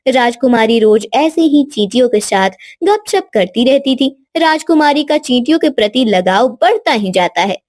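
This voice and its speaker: A woman's voice: fairly high pitch, animated, normal speed, few pauses, darkish tone, no echo. A woman storyteller reading scripted narration for a children's moral story.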